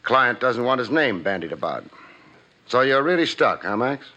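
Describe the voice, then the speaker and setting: An actor playing a wry, hard-boiled private detective with dry, punchy delivery in 1950s radio noir style. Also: male voice, medium pitch, sing-song, moderate pace, bright, forceful tone. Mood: sympathetic, gruff. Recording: muffled old radio recording, faint music.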